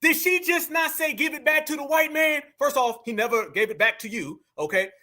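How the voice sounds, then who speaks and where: A man with a high, bright, forceful voice delivers reaction commentary. He talks straight to his viewers, fired up and hammering his points home. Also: brisk. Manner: fired-up, addresses audience directly as "fam" and "y'all". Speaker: online commentator.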